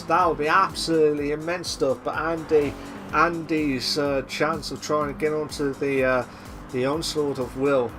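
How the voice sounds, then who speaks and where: A young man doing live sim racing commentary, excitable and repetitive, with a voice he says he is losing. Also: mid-range voice. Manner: excitable, repeats himself, shouts at big moves. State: losing his voice.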